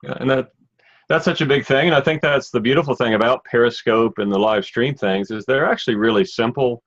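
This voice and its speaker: A man's voice: medium pitch, fairly quick. A man hosting an interview, chatting casually and encouraging his guest in a friendly way. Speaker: podcast host, interviewer. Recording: dull lo-fi sound.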